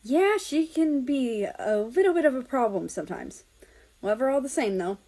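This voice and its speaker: A woman's voice: high-pitched, animated, normal speed, warm tone, pitched above the performer's own voice. A feisty young woman from a storybook , acted out by a woman reader. She is playful and informal, putting emphasis on her words in a slightly raised voice. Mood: cheerful, fond.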